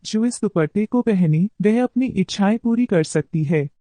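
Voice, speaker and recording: Mellow, solemn magic scarf voice played by a woman. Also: medium pitch, animated, normal speed, dry recording, natural voice. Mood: solemn warning. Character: mysterious disembodied voice of a magic scarf.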